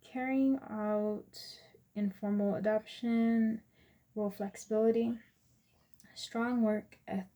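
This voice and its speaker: A woman in her twenties with an American accent, giving a prepared class presentation in a measured, point-by-point manner. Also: mid-range voice, steady tone, warm tone. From US Virgin Islands and Texas, USA. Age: 20s. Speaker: student presenter.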